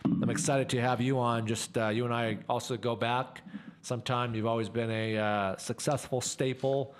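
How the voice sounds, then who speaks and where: A man's voice: mid-range voice, steady tone, normal speed. A man hosting a casual radio interview. He keeps repeating his words and filling the gaps with "uh". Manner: hesitant, repeats words, many fillers. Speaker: radio show host.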